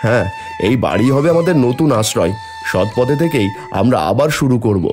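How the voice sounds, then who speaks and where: An honest, careworn village husband in a cartoon played by a man. His mid-pitched voice is earnest and moves up and down a lot, over faint background music. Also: lively intonation, fairly quick, natural voice. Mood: gentle, hopeful. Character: honest, worried poor village husband and father. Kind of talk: cartoon voice acting.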